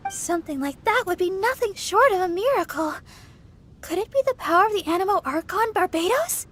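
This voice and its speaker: A woman voicing a sweet, girlish young companion in a video game, friendly and expressive in manner. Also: very high-pitched, brisk. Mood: curious. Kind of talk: video game character acting. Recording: music behind the voice.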